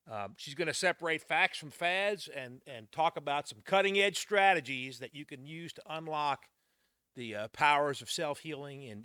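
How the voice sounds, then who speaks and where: Man, emcee, slightly high, bright, halting, dry. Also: no room sound. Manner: halting, many uhs. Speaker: meeting emcee. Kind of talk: introducing speakers.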